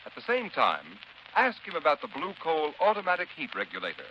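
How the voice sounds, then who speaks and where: A man's voice: a little high, huge pitch swings, fairly quick. A man announcing a scripted 1930s radio commercial, formal and emphatic with careful enunciation. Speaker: radio announcer and sponsor pitchman. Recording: muffled, hissy 1930s radio recording.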